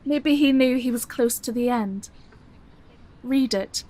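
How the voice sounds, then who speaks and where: Adult daughter for audio drama: higher register, wry, teasing, affectionate, reluctant. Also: female voice, slow pace, dark tone. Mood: gentle.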